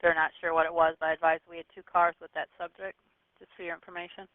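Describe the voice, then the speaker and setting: Male dispatcher, high pitch, rapid procedural delivery, through a tinny narrowband radio. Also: little pitch movement, no echo. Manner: brisk procedural phrasing. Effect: two-way radio. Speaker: police dispatcher. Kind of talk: police dispatch radio traffic.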